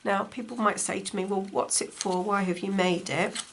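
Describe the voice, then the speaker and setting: A woman with a croaky voice from a cold, chatting casually as she demonstrates stitching at home. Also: mid-range voice, fairly even intonation, normal speed, fairly bright tone. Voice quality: slightly gravelly. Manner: chatty, talks while stitching. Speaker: textile crafts teacher. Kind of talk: casual craft tutorial. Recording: faint appliance hum behind. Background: dishwasher running.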